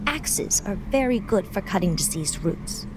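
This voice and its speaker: An actress playing a proud, bitter zealot who leads a crusade, speaking in solemn, formal, righteous phrases in a video game. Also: female voice, mid-range voice, lively intonation, unhurried, noisy background, lightly acted. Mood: solemn conviction. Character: Annie, bitter proud axe-wielding woman zealot, crusade leader. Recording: music behind the voice.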